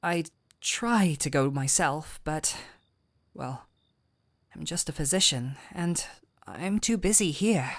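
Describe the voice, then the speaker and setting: Slow, low-voiced gentle fantasy physician played by a woman. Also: animated, dry recording, full character voice. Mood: earnest, explaining. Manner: gentle, unhurried, soothing. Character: gentle, caring fantasy physician woman, patient and softly teasing. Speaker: audio roleplay voice actor. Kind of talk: intimate scripted audio roleplay.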